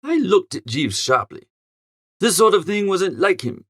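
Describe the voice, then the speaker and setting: A man as a high-voiced, flustered young English fop. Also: very animated, a little slow, fairly bright tone, slightly rough, dry recording, studio quality, heavily acted. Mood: agitated. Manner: exasperated, melodramatic, chatty. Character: flustered, foppish young English gentleman narrator. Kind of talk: comic first-person audiobook narration.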